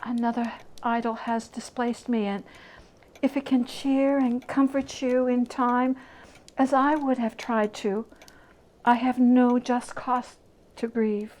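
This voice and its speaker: A woman voicing a gentle, resigned young woman, soft and dignified, in a storybook reading. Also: on the high side, fairly even intonation, takes it slowly, low-quality recording. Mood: sad, resigned.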